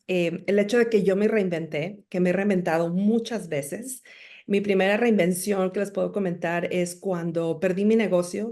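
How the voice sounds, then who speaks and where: A woman's voice: medium pitch, darkish tone. A middle-aged woman from Monterrey with a northern Mexican accent, telling her personal story as an interview guest in an articulate, emphatic way. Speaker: podcast guest, entrepreneur and speaker.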